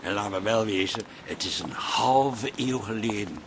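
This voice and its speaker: An actor playing a middle-aged husband in a Dutch radio drama, a soothing peacemaker who is easily exasperated. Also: male voice, fairly low pitch, normal speed, natural voice. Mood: placating. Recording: noisy background ambience.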